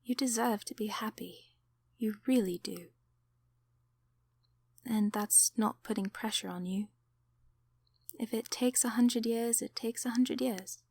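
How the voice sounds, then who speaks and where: A woman voicing a kindly sleep paralysis demon in an ASMR roleplay, encouraging and affectionate in a soft, soothing, often whispered delivery. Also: fairly high pitch, measured pace, pauses often, slightly rough, dry recording. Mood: tender, encouraging.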